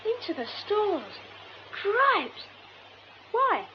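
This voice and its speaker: A woman's voice: extremely high pitch, sing-song, drawn-out words, highs cut off, slight background noise, heavily acted. A woman playing a cheeky young boy, drowsy and streetwise, in a radio drama. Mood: puzzled, indignant.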